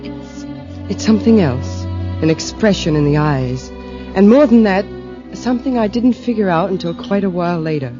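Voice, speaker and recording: A woman's voice: mid-range voice, lively intonation, moderate pace, lightly acted. An actress playing a cool, guarded married woman with a criminal past in an American old-time radio drama, speaking in a clipped, sardonic, world-weary way. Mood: reflective. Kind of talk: 1940s radio drama acting. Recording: dull old-time radio recording with music.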